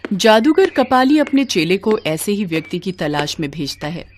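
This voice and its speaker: A woman's voice: mid-range voice, big pitch swings, normal speed, a little hiss or hum. A woman narrating a scripted children's story.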